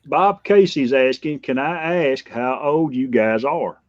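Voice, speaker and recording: A man's voice: medium pitch, a little slow, dry recording. A man in his fifties chatting casually as a guest in a group conversation. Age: 50s. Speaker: livestream guest. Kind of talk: casual chat. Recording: dull lo-fi dry sound.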